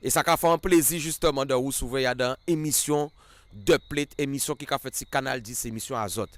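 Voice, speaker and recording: A man hosting a relaxed interview, opening with a loud, lively greeting. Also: mid-range voice, brisk. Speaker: radio show host. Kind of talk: casual interview.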